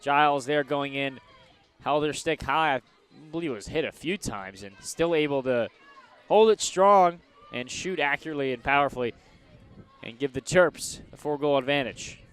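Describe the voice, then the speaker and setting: A male color commentator on a live sports radio broadcast speaks in a smooth, very clear voice that sits a little high. He breaks down the play conversationally, explaining as he goes. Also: moderate pace, fairly bright tone. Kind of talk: live sports analysis between plays.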